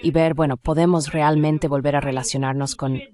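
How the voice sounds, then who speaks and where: A woman's voice: deep voice, fairly even intonation, moderate pace, a crowd in the background. A woman speaking as an academic expert guest in an interview, explaining things in an analytical, discursive way. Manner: analytical, discursive, with rhetorical check questions.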